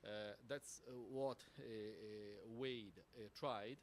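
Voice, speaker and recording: A man with an Italian accent, a native Italian speaker, answering as a panelist, halting and searching for words with many fillers. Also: lowish voice, fairly even intonation, slight background noise. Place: conference hall panel.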